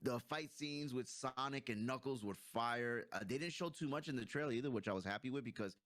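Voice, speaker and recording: A man's voice: mid-range voice, a little fast, a bit bright, no room sound, clean studio sound. A man, probably in his thirties, chatting casually and enthusiastically as he hosts a movie review, using plenty of slang.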